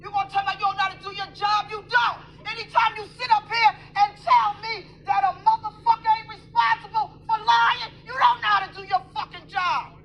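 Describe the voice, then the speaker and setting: A woman's voice: extremely high pitch, fairly even intonation, fairly quick, creaky, hardly any pauses, fairly bright tone, slight background noise, low-quality recording. A woman in a furious, profane rant, berating a clerk with nonstop swearing. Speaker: angry customer.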